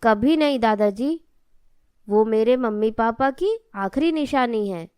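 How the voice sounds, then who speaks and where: A woman's voice: fairly high pitch, expressive, takes it slowly, dark tone, smooth, no echo, studio quality. A woman voicing an innocent, earnest little grandson in a cartoon moral story. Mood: curious, earnest. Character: innocent, devoted young grandson, a little boy.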